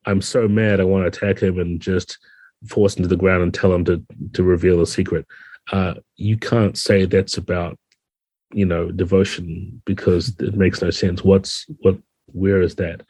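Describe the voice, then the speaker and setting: Low, husky man explaining in an even tone. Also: moderate pace, no echo. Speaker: game designer, podcast guest. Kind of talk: conversational interview answers, explaining game rules.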